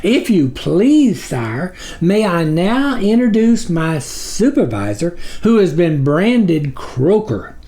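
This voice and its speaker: A man voicing a high-voiced, fawning, pleading demon minion in a storybook reading. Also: big pitch swings, slow, few pauses, warm tone, full character voice. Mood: fawning, scheming. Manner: obsequious, pleading.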